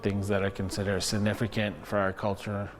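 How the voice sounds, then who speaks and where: A man speaks as a museum director in a casual interview. His voice is fairly low with very little melodic movement. He talks haltingly, pausing between phrases. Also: completely flat delivery, a little hiss or hum.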